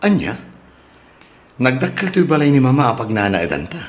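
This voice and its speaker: A man playing a gentle young husband and dutiful son, speaking mildly and earnestly in an Ilocano audio drama. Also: mid-range voice, sing-song, normal speed, close to an everyday voice. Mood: puzzled, concerned.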